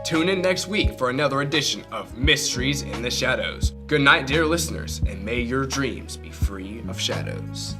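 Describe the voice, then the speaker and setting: A man's voice: mid-range voice, expressive, moderate pace, hardly any pauses. Male old-time radio announcer over music. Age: young adult. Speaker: announcer, narrator. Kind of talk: 1940s radio announcer narration.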